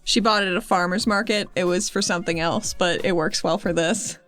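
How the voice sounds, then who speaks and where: A woman's voice: fairly low pitch, steady tone, a little fast, fairly bright tone, faint music behind. A woman talking casually as a player, improvising descriptions of her character in a tabletop role-playing game. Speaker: player on a tabletop RPG podcast.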